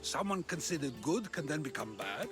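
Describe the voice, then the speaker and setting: An old Greek philosopher who probes every idea with questions. His high voice lilts and climbs as he wonders aloud. Game music sits behind him. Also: very animated, moderate pace. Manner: questioning, contemplative. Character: inquisitive old Greek philosopher, probing and thoughtful.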